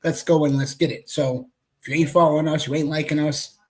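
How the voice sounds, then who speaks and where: A young man with a raspy voice, co-hosting a casual sports chat in a hyped, slangy way. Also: on the high side, steady tone, darkish tone. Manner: hyped, slangy, quick asides. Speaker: co-host.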